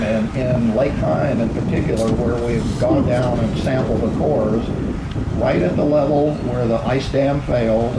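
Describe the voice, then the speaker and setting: A man on a discussion panel, explaining science to an audience in a measured way with pauses mid-sentence. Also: mid-range voice. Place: large open-sided tent outdoors. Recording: echoey, noisy outdoor event tent.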